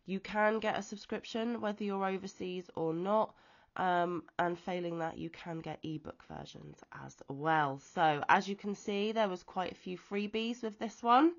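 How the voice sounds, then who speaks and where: A woman from the UK who is ill and wheezy with a chesty cough, chatting casually off camera about a crochet magazine with frequent pauses and ums. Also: medium pitch, steady tone, lo-fi sound. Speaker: crochet vlogger. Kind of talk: casual show-and-tell chat. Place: at home, off camera.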